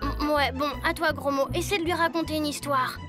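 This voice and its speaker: A woman's voice: high voice, fairly even intonation, fairly quick, over loud music, lightly acted. A woman voicing a spirited schoolboy hero in a cartoon. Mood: reluctant, practical.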